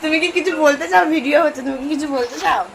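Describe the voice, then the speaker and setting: A woman's voice: sits high, very fast. A young woman chatting casually as a vlogger making a prank phone call. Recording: distant mic, clear vehicle-like background noise.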